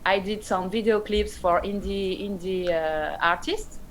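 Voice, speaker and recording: A woman's voice: medium pitch, unhurried, a little hiss or hum. A woman in her thirties from France with a French accent, a native speaker of Arabic and French, answering as an interview guest and searching for words. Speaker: guest, independent pop rock musician.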